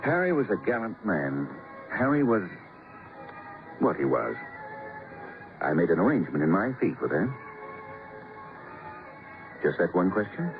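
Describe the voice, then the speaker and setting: A man playing a grave, cultured, mournful doctor with florid, measured phrasing in an old radio drama. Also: low voice, very animated, heavily acted. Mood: guarded, formal. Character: grave, cultured, theatrical male society doctor, mournful and guarded. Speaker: actor in a radio detective drama. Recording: muffled old radio broadcast with music.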